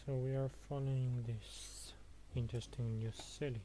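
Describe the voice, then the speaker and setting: A man's voice: medium pitch, level tone, moderate pace, warm tone, a little hiss or hum. A man giving casual, muttered commentary while playing a game. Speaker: gamer streamer.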